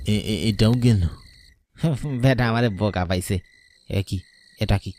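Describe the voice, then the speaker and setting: A man's voice: lowish voice, slow pace, darkish tone, a little hiss or hum. A man playing a tipsy village man with rural Bengali accent. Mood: startled. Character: tipsy, suspicious village man heading home late. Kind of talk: Bengali horror cartoon dubbing.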